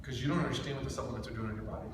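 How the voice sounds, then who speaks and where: A man's voice: mid-range voice, very fast. Intense man training in an echoey room. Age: thirty-seven. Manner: intense, emphatic, direct, peppered with rhetorical questions. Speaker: business team trainer. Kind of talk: informal motivational training talk to a group. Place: echoing indoor room with a small group. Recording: echoey room recorded from far off, faint noise.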